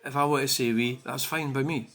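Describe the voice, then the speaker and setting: A Scottish man rants on his own channel in a bright, forceful voice. He talks indignantly and swears freely, padding his lines with "you know" and "I mean" as he picks apart viewer comments. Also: mid-range voice, fairly even intonation, fairly quick. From Scotland. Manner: indignant, profane, full of fillers like "you know". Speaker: channel host.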